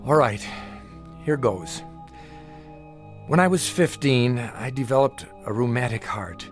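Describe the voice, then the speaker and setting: An actor in a radio drama playing a haunted, sleepless patient who talks in a halting, edgy, confiding way, afraid of his own imagination. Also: medium pitch, lively intonation, faint music behind. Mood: weary, agitated. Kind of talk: audio drama acting.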